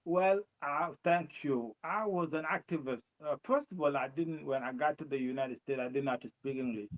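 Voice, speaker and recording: A man with a South Sudanese accent, speaking English learned later in life, answering interview questions in a halting way with many restarts. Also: a little high. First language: his mother tongue and Arabic. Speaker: guest, presidential candidate. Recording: dull lo-fi sound.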